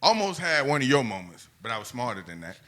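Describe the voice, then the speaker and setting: A man's voice: medium pitch, very animated, fast, a bit bright. A man co-hosting a podcast, ranting and roasting in casual, profane, opinionated banter. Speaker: podcast co-host.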